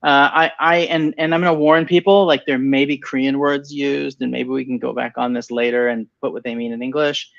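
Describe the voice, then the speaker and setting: A man's voice: medium pitch, little pitch movement, fairly quick, vocal fry, hardly any pauses, dull audio. A man in a casual webinar conversation, talking with enthusiasm and repeating himself as he starts sentences. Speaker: venture capital partner and co-host.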